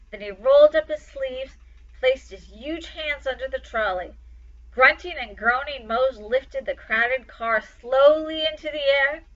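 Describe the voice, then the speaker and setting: A woman's voice: sits high, a bit bright, highs cut off. A woman reading a children's story aloud with dramatic, emphatic expression. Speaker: storytime reader.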